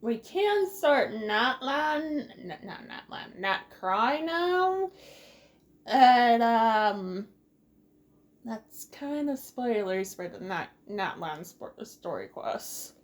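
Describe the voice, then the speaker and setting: High-pitched, playful woman gamer. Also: very animated, extremely slow, a little hiss or hum. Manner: playful, rambling, sing-song exclamations. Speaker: gaming video host. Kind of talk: casual Let's Play commentary.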